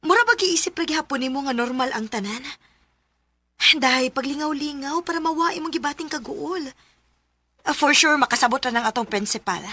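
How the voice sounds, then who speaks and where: A woman's voice: high voice, a little fast, sharp tone. A woman playing a caring, chatty, teasing young female friend in a Filipino radio drama. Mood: concerned.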